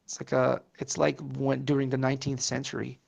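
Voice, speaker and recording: A man's voice: mid-range voice, monotone, a little fast, gravelly. A man whose first language is Portuguese, rambling through casual political chat with many fillers and curses.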